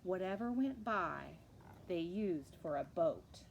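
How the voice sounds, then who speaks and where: A woman reading a children's picture book aloud expressively, in measured, rhythmic verse. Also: on the low side, lively intonation, creaky, slight background noise. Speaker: read-aloud storyteller.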